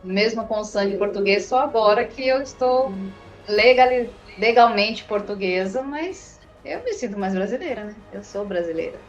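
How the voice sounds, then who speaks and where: A woman from Brazil, the guest's daughter, speaking briskly and directly and correcting details. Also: medium pitch, animated, lo-fi sound, faint music behind. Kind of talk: casual interview. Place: podcast studio.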